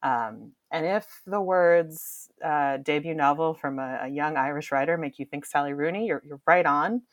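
A woman's voice: sits low, normal speed, no echo. A woman giving casual book recommendations in a conversational, enthusiastic way, like a publisher's presenter. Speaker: publisher's book marketing director.

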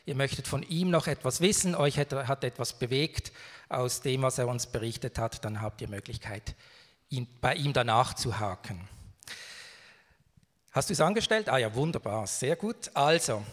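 Man, church moderator, measured questions, long reverb. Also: mid-range voice, expressive. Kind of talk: introducing and interviewing a guest. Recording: reverberant hall.

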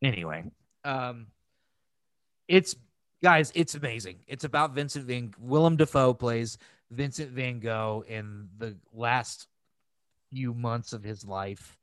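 A man's voice: mid-range voice, slow, fairly bright tone, dry recording. A man hosting a podcast, chatting casually about films in a crass, emphatic and gushing way. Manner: crass, freely swearing. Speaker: podcast host. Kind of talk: casual film review chat.

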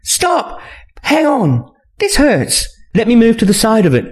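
A man's voice: very high voice, huge pitch swings, a little slow, voice pushed up, exaggerated voice. A man voicing a distressed woman in an audiobook, exclaiming and protesting with a pleading, sarcastic edge. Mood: pained, protesting. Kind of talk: audiobook character voice.

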